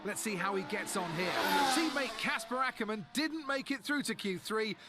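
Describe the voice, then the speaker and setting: An actor playing an excited motor racing commentator, projecting his sports commentary in a video game. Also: high voice, normal speed.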